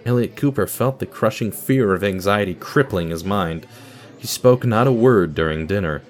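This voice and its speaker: A man's voice: fairly low pitch, moderate pace. A man reading scripted narration for an audio drama, telling a story in a dramatic style.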